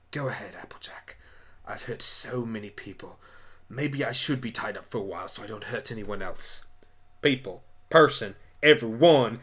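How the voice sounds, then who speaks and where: A man's voice: fairly low pitch, measured pace, fairly bright tone, slightly rough, pitched below the performer's own voice. A man playing an apologetic alien time traveler, lonely yet whimsical, rambling politely in an amateur radio play. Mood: lonely, remorseful.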